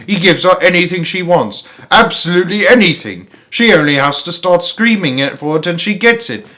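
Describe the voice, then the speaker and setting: A man's voice: high voice, animated, moderate pace, sharp tone, voice pushed up. A male reader plays a nosy bystander in a storybook crowd. The voice is lifted and exclamatory, full of scandalised gossip. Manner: exclamatory, gossipy back-and-forth. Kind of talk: storybook read-aloud character voice.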